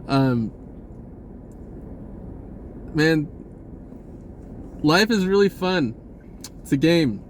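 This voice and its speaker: A man talking casually in a rambling spiritual monologue, halting and often interrupting himself, in an informal way. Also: fairly high pitch, a little mellow, a little hiss or hum. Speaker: spirituality vlogger.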